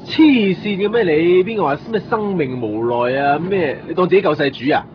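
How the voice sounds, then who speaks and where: A man's voice: a little high, brisk, close to an everyday voice. A man with a Hong Kong Cantonese accent playing a sarcastic, bantering young friend in a radio drama. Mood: mocking. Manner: mocking, animated. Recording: muffled, faint traffic noise behind. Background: street ambience with vehicles.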